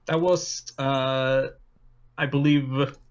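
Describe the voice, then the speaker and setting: A man's voice: medium pitch, fairly even intonation, very slow, clean tone, highs cut off. A man from Sweden with a Swedish accent, a guest in a casual chat who tells things in an easygoing way with dry humor.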